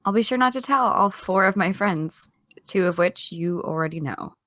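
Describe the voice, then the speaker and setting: An actress playing a studious, quietly wry teenage girl, matter-of-fact, in a naturalistic audio drama. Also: medium pitch, expressive, moderate pace, no room sound, studio quality, lightly acted.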